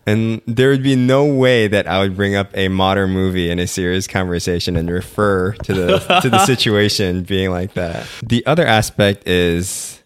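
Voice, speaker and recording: Young male co-host, low pitch, dry close sound, casual and filler-heavy. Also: normal speed, few pauses, clean tone, no echo. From Philippines. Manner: relaxed, with many fillers and mock quotes. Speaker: podcast co-host. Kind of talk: casual chat.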